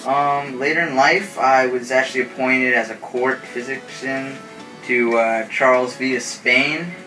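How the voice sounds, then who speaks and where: A man's voice: mid-range voice, steady tone, a little slow, pushed and bright, distant mic, low-quality recording. A man playing a physician guest who hesitates and fills his answers with "uh" and "um". His mid-range voice comes from away from the mic, with music playing behind. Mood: hesitant. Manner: hesitant, many fillers. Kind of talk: amateur talk-show skit.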